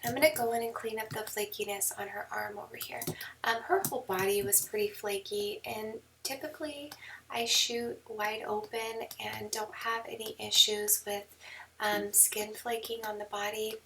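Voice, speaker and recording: Hoarse, whispery woman, gravelly tone. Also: mid-range voice, moderate pace, far from the mic, rough recording. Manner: relaxed, with many ums. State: losing her voice, hoarse and whispery. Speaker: newborn photographer. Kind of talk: screen-recorded editing tutorial. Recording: mic set back, faint background noise.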